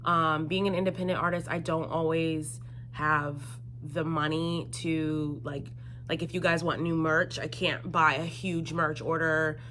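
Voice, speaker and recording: A woman's voice: low voice, little pitch movement, a little slow, a bit bright, slight background noise. A woman in her twenties chatting casually to viewers as a singer-songwriter answering questions, explaining things in a conversational way. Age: 20s. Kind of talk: casual solo Q&A chat to camera.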